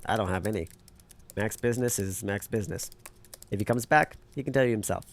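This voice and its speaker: A man's voice: lowish voice, fairly quick, lightly acted. A man acting in an audio drama as a wary, blunt underground tech leader who talks in a terse, dry, probing way.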